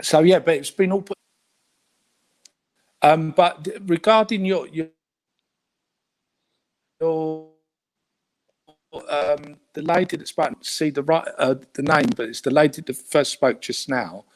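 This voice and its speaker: A man's voice: on the high side, measured pace, pauses often, rough recording. A man with a Cockney accent from England, chatting as a guest on a video call, hesitant with many fillers and restarts. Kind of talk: casual video call chat.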